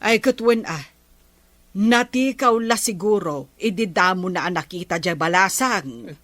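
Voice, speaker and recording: A woman's voice: mid-range voice, expressive, measured pace, fairly bright tone, dry recording. A woman playing a gossipy village neighbour in a radio comedy drama. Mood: gossiping.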